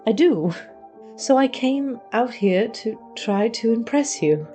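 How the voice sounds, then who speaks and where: Woman acting a soft-toned, earnest young apprentice. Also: fairly high pitch, lively intonation, slow, faint music behind. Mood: confessing. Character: earnest, lovestruck young female wizard's apprentice. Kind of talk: scripted audio drama dialogue.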